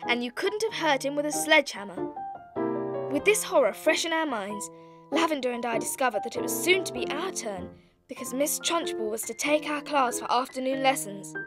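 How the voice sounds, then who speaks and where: A woman's voice: high-pitched, lively intonation, normal speed. An actress voicing a young schoolgirl who tells her own story wryly in a dramatized audiobook. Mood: wry storytelling. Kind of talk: scripted audiobook narration. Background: piano music.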